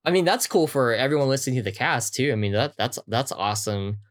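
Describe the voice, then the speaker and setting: A man's voice: medium pitch, expressive, quick pace. A man co-hosting a podcast in casual, informal chat, often repeating short phrases as he reacts. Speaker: podcast co-host.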